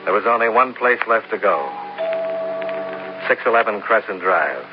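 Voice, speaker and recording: An actor playing a hard-boiled insurance investigator who narrates a 1950s radio drama in a dry, clipped way. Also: male voice, lowish voice, animated, normal speed, loud music behind the voice, natural voice. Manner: dry, clipped, matter-of-fact. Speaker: narrator and lead. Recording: hissy, dull old radio broadcast.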